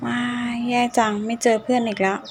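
A woman's voice: fairly high pitch, steady tone, brisk, noisy background, close to an everyday voice. A woman playing an anxious young woman haunted by her dead roommate in a cartoon ghost story, speaking dramatically with exclamations and repetition. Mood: casual. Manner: dramatic, exclaiming, repeats phrases when panicked. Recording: music behind the voice.

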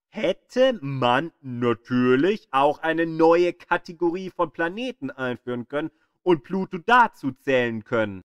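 A man reading scripted educational narration in a deliberate, explanatory manner, as the narrator of an audio guide. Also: a little high, fairly bright tone, clear, resonant tone, dry recording, clean studio sound. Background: music behind the voice.

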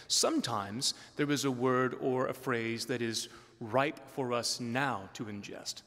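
A middle-aged man preaching a sermon as a priest, explaining in a measured way with emphatic stresses, from the pulpit of a large stone church. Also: mid-range voice, a bit bright. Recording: long church reverb.

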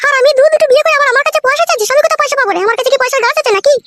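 A woman voicing a bossy, fussing, sharp-tongued young village wife in a comedy cartoon, with a rural West Bengal accent. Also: very high-pitched, very fast. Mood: scolding. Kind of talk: comic cartoon dialogue.

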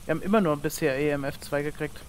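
A man's voice: fairly high pitch, fast, slight background noise. A man chatting casually while playing a co-op ghost-hunting game, calling out questions to a ghost. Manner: calls out questions to a ghost, teasing. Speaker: gamer.

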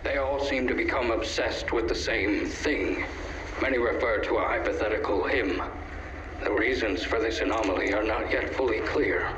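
A man playing a formal research scientist who reports in a measured, detached way in a video game. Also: on the low side, fairly bright tone, highs cut off, close to an everyday voice. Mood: clinical, detached. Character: male research scientist reporting coldly to his commander. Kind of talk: scripted video game acting. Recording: echoey, noisy room recording.

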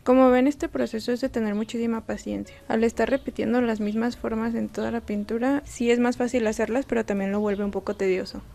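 Relaxed, gravelly-voiced young woman. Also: fairly high pitch, a little fast, hardly any pauses. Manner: relaxed, chatty, self-deprecating. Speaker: artist narrating a painting process. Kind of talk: casual voice-over narration.

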